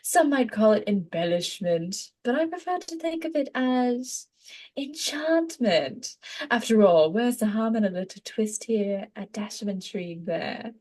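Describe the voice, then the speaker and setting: A woman voicing a smug, gossipy female journalist who coyly justifies herself in a theatrical, drawn-out manner, as in an acting exercise. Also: mid-range voice, expressive, warm tone, voice pushed up. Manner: theatrical, drawn-out, savoring words.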